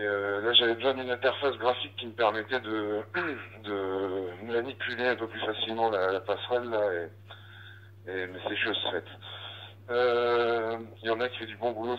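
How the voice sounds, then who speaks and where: A man chatting informally as an amateur radio operator over a dull, band-limited digital radio link, explaining things in a hesitant, conversational way. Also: on the low side. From northern France. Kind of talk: casual radio chat, explaining. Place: outdoors in the street, walking. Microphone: handheld radio transceiver.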